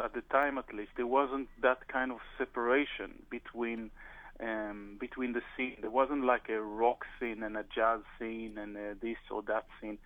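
A man's voice: medium pitch, dry recording. A man from Israel talks over a tinny, narrow phone line, his voice dry and close. He speaks hesitantly, with many "uh"s and restarts. He is a touring drummer answering interview questions.

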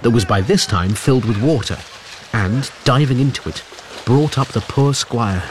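An actor playing a wry, educated young gentleman narrating a period radio drama in literary, measured phrases. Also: mid-range voice, background noise, close to an everyday voice.

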